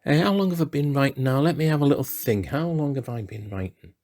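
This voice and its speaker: A man in his sixties with a Liverpool accent, speaking in a relaxed, chatty way with dry self-deprecating humour. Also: mid-range voice, quick pace. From Liverpool, England. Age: 60s. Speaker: playwright. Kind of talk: relaxed talking-head interview.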